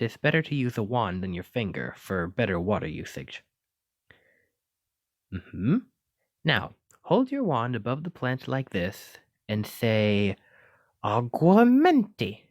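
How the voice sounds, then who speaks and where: A man acts out a clumsy but kindly wizard giving magic lessons in an ASMR roleplay. He speaks with genteel, old-fashioned flourish and keen encouragement. His mid-pitched voice leaps and swoops dramatically, and the sound is very dry. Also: no echo. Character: clumsy, kindly, excitable male wizard teacher. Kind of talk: ASMR roleplay addressed to the listener.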